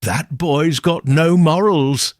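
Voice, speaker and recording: A man's voice: fairly high pitch, takes it slowly, dry recording, clean studio sound, pitched above the performer's own voice. A man voicing an accusing, gossipy village shopkeeper woman with a conspiratorial hiss, in a mystery audiobook. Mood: conspiratorial blame. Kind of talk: mystery audiobook character dialogue.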